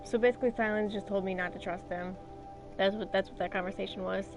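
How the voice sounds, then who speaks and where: A woman streaming a video game, chatting casually and reacting loudly to the play, her voice hoarse and scratchy from illness. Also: medium pitch, fairly even intonation, fast, over music. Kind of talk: casual gameplay commentary.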